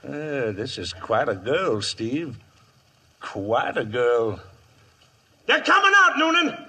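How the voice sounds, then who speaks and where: An American actor playing a sadistic, taunting outlaw gang leader in a western radio drama, drawling with cackling glee. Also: male voice, mid-range voice, huge pitch swings, slow, bright, forceful tone, husky, exaggerated voice. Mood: sly, insistent.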